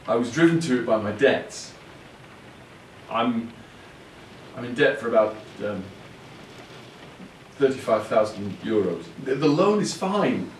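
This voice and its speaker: An actor playing an earnest, halting brother who confesses and pleads with his sisters in an audio play. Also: medium pitch, animated, distant mic, natural voice. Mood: earnest, confessing. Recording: roomy, off-mic, faint background noise.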